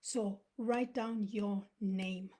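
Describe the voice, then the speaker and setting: A woman's voice: medium pitch, fairly even intonation, extremely slow, dark tone, slight background noise. A woman in her thirties, born in Uganda, giving an earnest motivational talk on a live stream and dropping to a whisper for emphasis. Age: 30s. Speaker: motivational speaker.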